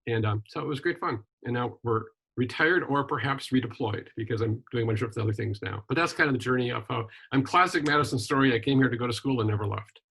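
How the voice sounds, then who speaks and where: An older man from Wisconsin, a retired minister and journalist, telling his life story in a relaxed interview with frequent ums and restarts. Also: medium pitch, quick pace, creaky, hardly any pauses, slightly rough, low-quality recording. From Wisconsin, USA. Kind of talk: casual conversational interview.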